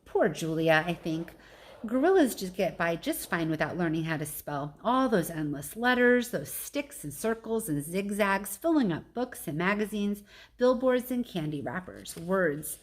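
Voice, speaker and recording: A woman with a slightly low voice narrates a children's storybook as a teacher would. She shifts between measured reading and casual explanatory asides to her listeners. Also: animated.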